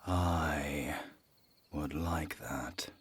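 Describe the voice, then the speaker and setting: An actor playing a dying four-century-old male vampire villain in an audio drama, weary and wistful, with a gravelly, breathy whisper and halting delivery. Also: extremely low pitch, a little hiss or hum, exaggerated voice. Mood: resigned, wistful. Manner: halting, slow, sighing. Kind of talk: cinematic audio drama acting.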